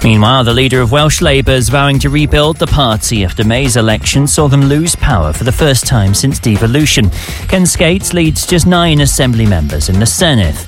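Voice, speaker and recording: A man reads the radio news in a measured, formal manner. His pitch moves a lot from line to line. Music plays clearly behind him. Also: expressive. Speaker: radio newsreader. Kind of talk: news bulletin reading.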